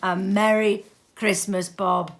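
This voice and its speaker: A woman reading aloud voices an old male miser, now joyful and generous, who talks in a gruff then hearty, booming way. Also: little pitch movement, slow.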